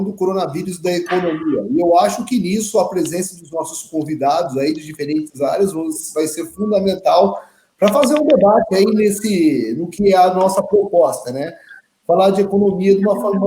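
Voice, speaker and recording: A man's voice: high-pitched, dark tone. A man, a professor taking part in the panel, chatty and ending his phrases with tag questions. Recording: echoey room.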